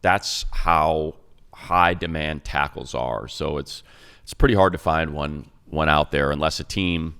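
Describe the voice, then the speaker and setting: A middle-aged man with a very low, gravelly voice breaks down football on a sports podcast. He talks in an emphatic, conversational way and leans on fillers as he builds his points. He sounds like a seasoned radio announcer. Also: a little slow, fairly bright tone. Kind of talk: casual sports podcast analysis.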